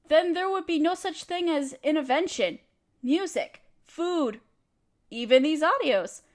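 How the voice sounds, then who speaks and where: High-pitched woman giving gentle reassurance. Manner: reassuring, encouraging, addresses listener directly. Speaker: audio creator speaking as a supportive friend. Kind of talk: comforting scripted monologue to the listener.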